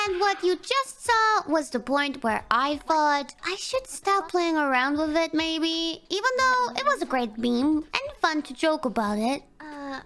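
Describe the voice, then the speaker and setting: A young woman from Austria with an Austrian German accent, streaming and joking playfully about where she lives. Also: very high voice. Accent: German-speaking Austrian. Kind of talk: comedic clip compilation of stream moments.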